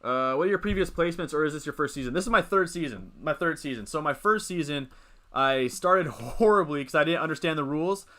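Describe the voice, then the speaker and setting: A man's voice: on the high side, animated, fairly quick, sharp tone. A young man in his twenties hosting a casual live stream, rambling as he reads and answers the chat. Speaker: livestream host.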